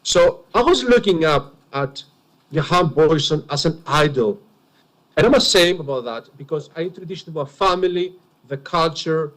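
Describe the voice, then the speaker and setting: Greek guy with a heavy accent testifying at a hearing, kinda high voice, earnest and emphatic. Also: fairly bright tone, rough recording. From Greece. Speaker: witness. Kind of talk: formal testimony at an enquiry.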